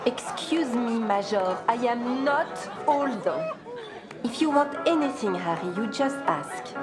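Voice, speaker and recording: A woman's voice: fairly high pitch, animated, slow pace. A woman playing a flirtatious, teasing cabaret lady in a game. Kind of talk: dramatic video game dialogue. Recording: loud music and crowd behind.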